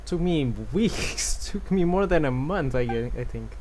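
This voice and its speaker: A man's voice: a little high, expressive, unhurried, a little mellow, a little hiss or hum. A man streaming a video game, chatting casually as he plays. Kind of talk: casual gameplay streaming, reading dialogue aloud. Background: game music.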